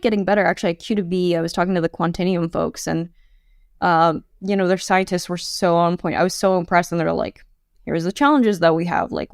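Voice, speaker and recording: A woman's voice: on the low side, vocal fry, dry recording, studio quality. A woman in her thirties, a podcast guest, talking candidly and chattily with many fillers. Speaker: podcast guest, quantum computing founder. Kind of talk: casual interview chat.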